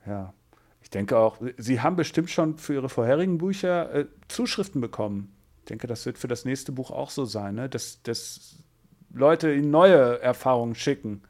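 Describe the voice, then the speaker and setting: A man's voice: mid-range voice, expressive, normal speed, a little mellow. A man hosting an interview podcast, talking casually and kindly, with ums and small fillers. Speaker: podcast host.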